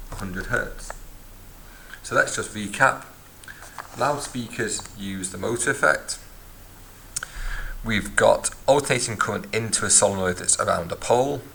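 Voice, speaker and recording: A man's voice: on the low side, creaky, sharp tone, a little hiss or hum, low-quality recording. A man teaching a physics lesson, explaining step by step and recapping as he writes in a notebook. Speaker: science teacher. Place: at a desk, filming a notebook from above.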